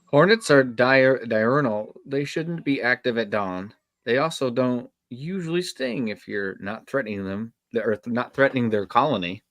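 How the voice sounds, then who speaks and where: A man reading an awkward, brainy young male camp counselor in a live horror script read, halting but precise. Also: mid-range voice, a bit bright, no room sound, lightly acted. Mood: earnest, nerdy.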